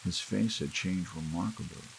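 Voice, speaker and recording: A man's voice: low voice, moderate pace, creaky, rough recording. A man reading scripted fiction as an ASMR audiobook narrator. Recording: steady rain and hiss behind. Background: soft rain.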